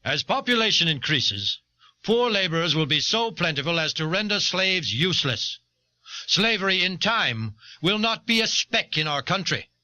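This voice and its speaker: Man acting a sharp-voiced, argumentative founding-era delegate. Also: high-pitched, big pitch swings, moderate pace, dry recording, full character voice. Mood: firm reasoning. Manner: formal, reasoning, emphatic. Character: pragmatic eighteenth-century New England delegate, argumentative. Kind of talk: dramatized historical debate speech. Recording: dull old cassette tape.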